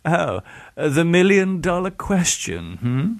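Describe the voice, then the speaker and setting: A man's voice: a little high, sing-song, slow pace, vocal fry, no echo, voice pushed up, full character voice. A man voicing an arrogant, murderous surgeon in an audiobook, with a sardonic, theatrical and falsely affable manner. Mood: smug.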